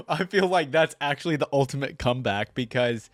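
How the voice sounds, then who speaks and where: A man's voice: mid-range voice, animated, moderate pace, no room sound, clean studio sound. A man giving casual, slangy reaction commentary on game voice lines. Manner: slangy, says bro and dude. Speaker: YouTube reactor. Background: game voice lines and faint music.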